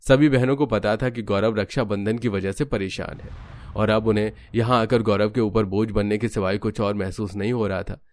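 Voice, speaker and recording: A man's voice: fairly low pitch, fairly even intonation, normal speed, a little mellow. A man reading scripted narration for a cartoon family story.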